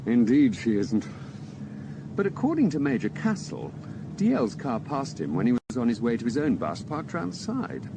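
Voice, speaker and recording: An actor playing a steady, dutiful police detective, tactful and matter-of-fact, in a radio drama. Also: male voice, mid-range voice, normal speed, gravelly, noisy background. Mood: measured.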